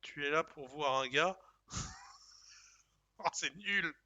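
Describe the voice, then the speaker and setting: Bright, joking male streamer. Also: fairly high pitch, slow pace, muffled recording. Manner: lively, joking, reads options aloud. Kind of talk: casual game commentary.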